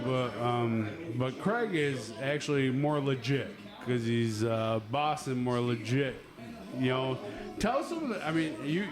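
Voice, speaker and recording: A man hosting a casual podcast, rambling with lots of fillers and cutting in often, in a busy venue. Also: medium pitch. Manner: rambling, many fillers, keeps interrupting. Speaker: podcast host. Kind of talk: casual chat. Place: busy venue with background chatter. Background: music and chatter.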